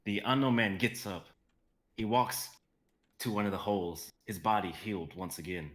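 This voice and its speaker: Young man narrating, low and bright. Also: normal speed. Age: young adult. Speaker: narrator and reader. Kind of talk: dramatic narration of a script.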